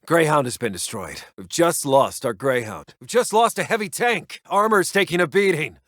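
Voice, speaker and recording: An actor playing a brisk, grave American army intelligence officer in a war strategy game, reporting in clipped, soldierly phrases. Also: male voice, fairly high pitch, expressive, a bit bright, dry recording, clean studio sound. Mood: grave, frustrated. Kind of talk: scripted military radio-report game voice lines.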